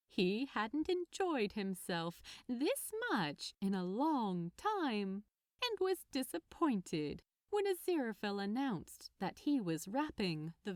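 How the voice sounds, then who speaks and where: A woman's voice: mid-range voice, no room sound, clean studio sound. A woman reading a story aloud as narrator, in scripted storytelling style. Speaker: narrator, fan fiction reader.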